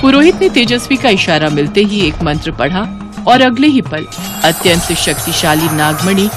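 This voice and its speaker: उतार-चढ़ाव भरी आवाज़ में महिला कथावाचक. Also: female voice, on the low side, lively intonation, moderate pace, music in the background. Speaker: story narrator. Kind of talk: dramatic scripted fantasy narration.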